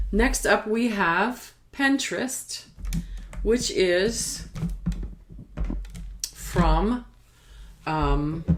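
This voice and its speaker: A woman hosting a casual craft podcast, rambling haltingly and sometimes trailing off as if talking to herself. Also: mid-range voice, a little hiss or hum. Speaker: podcast host showing knitting and spinning.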